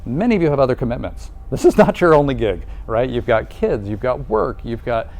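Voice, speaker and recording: Male presenter, mid-pitched, lively conversational delivery with rhetorical questions, live audience in the room. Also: brisk, vocal fry. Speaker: presenter at a nursing college welcome event.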